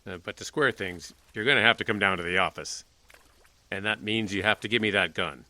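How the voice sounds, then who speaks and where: An actor playing a steady small-town sheriff with calm, easygoing authority. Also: male voice, on the low side, fairly quick, no echo. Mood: firm. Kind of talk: audio drama acting.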